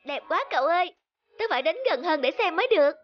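A woman voicing a naive, curious young lamb in a children's cartoon, speaking in an expressive, wide-eyed way. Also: extremely high pitch, fast talker, lo-fi sound. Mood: delighted wonder.

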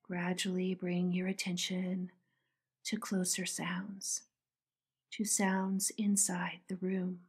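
A woman softly narrating a guided meditation in a soothing, breathy manner. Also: lowish voice, monotone, slow. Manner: soft, breathy, soothing delivery.

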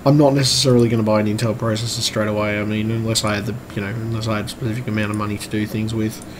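A man with a croaky, strained voice, giving a casual, hesitant, rambling update like a vlogger. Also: lowish voice, level tone, racing. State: strained voice, throat-clearing. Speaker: vlogger and computer repairer. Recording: clearly noisy room recording.

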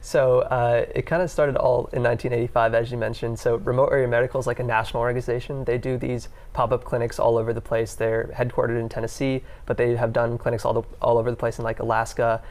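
A man's voice: medium pitch, little pitch movement, fast, hardly any pauses, a little mellow. A young man, a pre-med student, speaking casually in a TV studio interview on a lapel mic, with lots of fillers. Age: young adult. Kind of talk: live TV interview. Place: TV news studio.

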